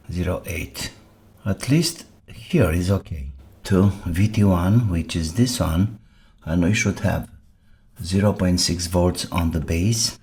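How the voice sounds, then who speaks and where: A man with a very low voice talks himself through an electronics repair. He speaks slowly, in short muttered fragments with pauses between them. The recording has noticeable noise and small clicks behind him. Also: darkish tone. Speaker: electronics repair video maker. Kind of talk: thinking aloud while repairing electronics.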